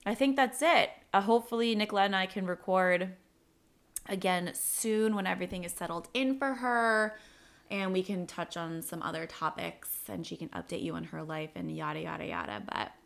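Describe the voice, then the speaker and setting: A woman's voice: lowish voice, moderate pace, gravelly. A woman in her late twenties hosting a casual podcast chat, talking loosely with lots of "like" fillers. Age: 20s. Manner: chatty, many "like" fillers. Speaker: podcast host.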